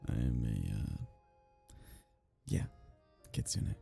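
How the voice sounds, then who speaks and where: A man acting a geeky, awkward male kitsune on a blind date in an ASMR roleplay, halting, stammering and apologetic. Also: rumbling low, gravelly, warm tone, breathy edge, faint music behind, heavily acted. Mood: flustered, nervous.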